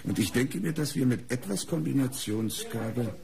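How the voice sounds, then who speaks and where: An actor playing a composed, deferential police assistant in a radio crime play, dry and considered. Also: male voice, mid-range voice, animated, normal speed, gravelly, darkish tone. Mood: thoughtful. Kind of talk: German radio crime drama acting.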